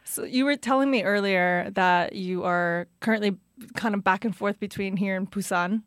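A young woman raised in Canada hosting a casual interview, bubbly and quick to laugh. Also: medium pitch, dry recording, studio quality. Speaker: interview host.

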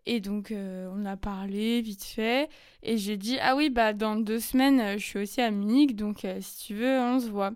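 Young woman podcaster, casual and chatty storyteller, lots of fillers; crisp tone, dry recording. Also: medium pitch, a little mellow, no room sound. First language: French. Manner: chatty, many fillers and quick asides. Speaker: podcast host. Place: lives in Germany.

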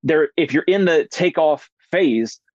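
A man's voice: on the high side, expressive, a little slow, a little mellow, no room sound. A man hosting a podcast, asking questions in a casual, rambling way, filling with "like" and restarting phrases. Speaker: podcast host. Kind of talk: casual interview chat. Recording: dry, dull muffled sound.

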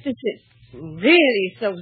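A poised newspaper owner. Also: female voice, medium pitch, sing-song, slow, a crowd in the background. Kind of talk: 1940s radio acting. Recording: muffled old radio recording.

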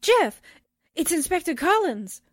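A woman playing a feisty, excitable young sidekick in a 1940s style radio drama, urgent and slangy. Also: very high voice, unhurried, full character voice.

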